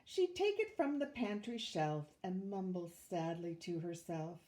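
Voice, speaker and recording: An older woman reading a rhyming children's picture book aloud with measured, expressive storytelling. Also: on the low side. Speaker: volunteer storybook reader, retired teacher.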